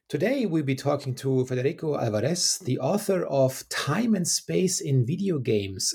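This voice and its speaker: A man's voice: mid-range voice, creaky, darkish tone, breathy edge. A man hosting a podcast, upbeat as he reads an introduction to an interview. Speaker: podcast host.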